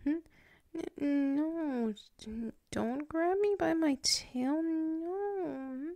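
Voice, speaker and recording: A very slow, gravelly-edged female werewolf voiced by a woman in a close, dry ASMR roleplay. She is drowsy and tsundere, proud but submissive. Her words come out soft and halting, with flustered stammers and drifts into whispering. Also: sits high, no echo, full character voice. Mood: flustered, protesting.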